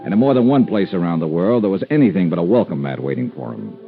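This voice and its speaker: Hard-boiled American male investigator, animated pitch, clipped and world-weary, muffled old-radio sound. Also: fast. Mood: wry narrating. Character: hard-boiled, wry freelance insurance investigator, adult man. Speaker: lead actor and first-person narrator. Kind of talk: 1950s radio detective acting and narration. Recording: muffled vintage radio recording with music.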